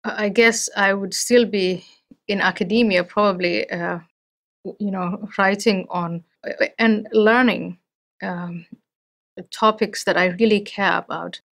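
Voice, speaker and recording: A middle-aged woman from Somalia, speaking as an interview guest in a thoughtful, measured way with frequent restarts. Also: medium pitch, fairly even intonation, vocal fry, fairly bright tone. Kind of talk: interview conversation.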